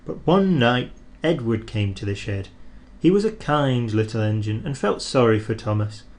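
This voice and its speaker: Man reading a kids story out loud, lively up and down voice, takes his time. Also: medium pitch. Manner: expressive, measured. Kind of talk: storybook read aloud.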